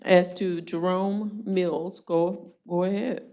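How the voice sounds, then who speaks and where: A woman judge running a jail court hearing, brisk and plainspoken with a dry joking streak. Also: lowish voice, steady tone, mellow.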